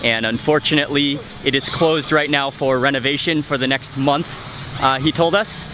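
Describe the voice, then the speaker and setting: A man casually narrating a travel vlog while walking outdoors, relaxed and chatty with fillers. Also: mid-range voice, normal speed. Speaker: travel vlogger. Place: outdoors on city streets. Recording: music bed and traffic behind.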